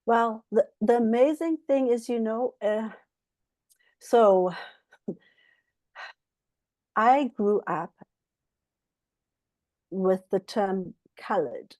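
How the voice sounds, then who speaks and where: A woman from Zimbabwe giving a candid, earnest personal account as a guest author at a book club, often halting as she reflects. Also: on the high side, a little mellow, dry recording.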